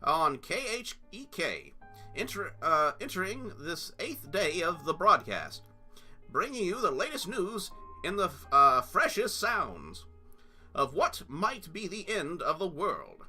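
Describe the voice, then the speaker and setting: Man putting on a higher, bright-toned jokey radio DJ, breezy announcer at world's end. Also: sing-song, slow pace, faint music behind, exaggerated voice.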